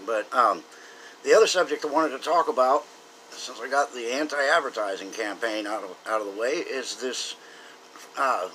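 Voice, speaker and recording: A man's voice: medium pitch, bright, forceful tone, slight background noise, poor audio. An American man from the South giving an earnest, opinionated, unscripted political monologue to camera, speaking emphatically. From southern United States. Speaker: political commentator.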